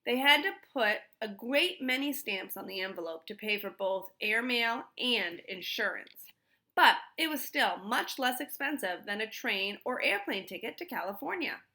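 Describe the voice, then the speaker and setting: A woman reading a children's storybook aloud in an expressive style. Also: fairly high pitch, normal speed. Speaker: storybook reader.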